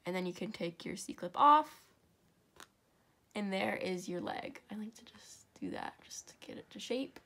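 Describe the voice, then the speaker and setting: A woman's voice: mid-range voice, lively intonation, moderate pace, gravelly, sharp tone, whispered. Congested young woman teaching a craft. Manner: chatty, rambling, self-correcting asides. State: congested, stuffy nose. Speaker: craft tutorial host. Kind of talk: step-by-step crafting tutorial.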